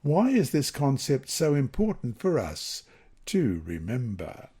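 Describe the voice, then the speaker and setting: A man from Queensland, reading a Bible study lesson aloud in a measured way and slowing for quoted scripture. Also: medium pitch, wildly swooping pitch, noisy background. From Queensland, Australia.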